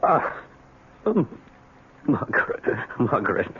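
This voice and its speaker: An actor playing a tormented middle-aged husband in a 1970s radio drama, halting and repeating himself. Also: male voice, mid-range voice, sing-song. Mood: dazed, halting. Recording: dull, noisy 1970s radio recording.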